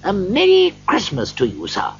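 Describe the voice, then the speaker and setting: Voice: medium pitch, huge pitch swings, moderate pace, muffled recording, a little hiss or hum, voice pushed up. A storyteller playing an elderly former miser who has turned giddy, generous and effusive, in a storybook reading. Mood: warm, earnest. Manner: exuberant, eager, effusive.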